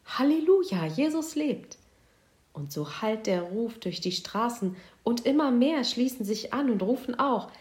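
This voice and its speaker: A woman narrating a children's Bible story expressively, moving between hushed and exclaiming. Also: a little high, moderate pace, few pauses.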